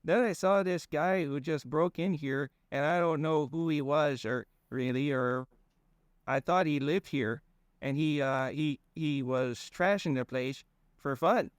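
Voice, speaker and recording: A man's voice: medium pitch, normal speed, no echo, clean studio sound, close to an everyday voice. A man voicing a shifty, rambling male werewolf cousin with a put-on folksy accent. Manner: folksy rambling, keeps saying "don't you know".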